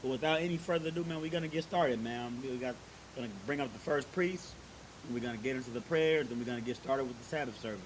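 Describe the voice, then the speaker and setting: A man's voice: medium pitch, fast talker. A man casually introducing a religious service, talking in a loose, repetitive way with many filler phrases. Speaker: congregation member hosting a religious service. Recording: steady background hiss.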